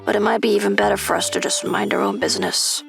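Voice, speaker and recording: A woman's voice: medium pitch, level tone, quick pace, vocal fry, fairly bright tone, faint music behind. A woman voicing a blunt, self-conscious young woman with dry wit in a manga dub. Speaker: voice actor.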